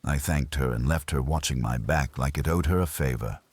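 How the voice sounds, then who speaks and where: A man narrating a hardboiled detective story in a dry, clipped, deadpan way. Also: extremely low pitch, moderate pace, breathy edge, no echo.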